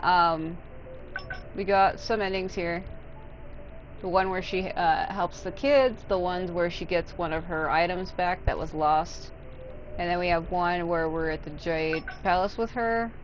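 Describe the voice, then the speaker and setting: A woman's voice: fairly low pitch, fairly even intonation, unhurried, a little hiss or hum, poor audio. A woman giving casual, unscripted gameplay commentary, thinking aloud in a rambling way and swearing casually. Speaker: video game streamer.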